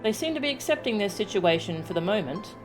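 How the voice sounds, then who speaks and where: A woman voicing a courteous, solemn fantasy leader from a video game in formal, measured phrases, with music behind her. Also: medium pitch, big pitch swings, close to an everyday voice, low-quality recording.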